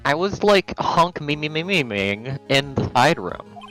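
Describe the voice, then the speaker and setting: A man voicing a ditzy, evasive young woman on the witness stand in an amateur game fan dub, halting like a valley girl. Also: a little high, sing-song, fairly bright tone, faint music behind, poor audio. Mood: nervous.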